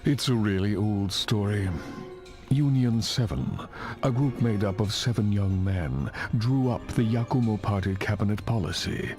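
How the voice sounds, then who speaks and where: An actor playing a genial, reflective older political founder in a video game cutscene, speaking in measured, formal storytelling. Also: male voice, fairly low pitch, natural voice. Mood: grave, reflective. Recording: music under the voice.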